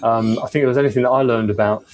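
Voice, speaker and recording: Fast-talking, rambling man in casual conversation. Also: mid-range voice, fairly even intonation, a little mellow. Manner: rambling, reflective, seeks agreement with tag questions. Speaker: podcast guest with audio engineering background.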